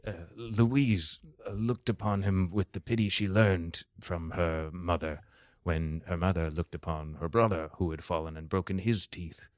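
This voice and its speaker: A man narrating an improvised mock-literary comedy story in a solemn, deliberate, halting way. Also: low voice, steady tone, darkish tone, no echo, clean studio sound.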